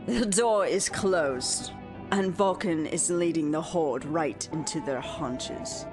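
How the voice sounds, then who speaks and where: A dark sorceress queen in a fantasy radio drama. She speaks slowly and formally, with theatrical deference to her lord, over faint music. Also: low-pitched. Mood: watchful reporting. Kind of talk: fantasy audio drama acting.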